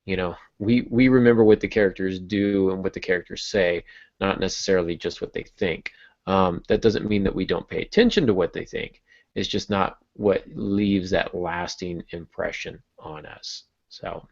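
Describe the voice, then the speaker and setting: A man's voice: deep voice, moderate pace, gravelly. A man hosting a podcast, talking casually about the craft of writing. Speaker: podcast host. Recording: muffled lo-fi podcast audio.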